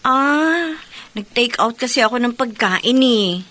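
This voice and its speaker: A woman playing a caring, persistent younger sister in a radio drama, earnest and gentle. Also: on the high side, animated, takes it slowly, heavily acted. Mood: friendly, hesitant.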